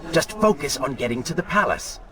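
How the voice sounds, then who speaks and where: Sinister, mocking male dark spirit actor, swooping delivery. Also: medium pitch, animated, a little fast, crowd noise, natural voice. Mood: irritated, scornful. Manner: mocking, insinuating. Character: sinister male inner dark self, scornful, cunning, vengeful. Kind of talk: dramatic video game villainous acting.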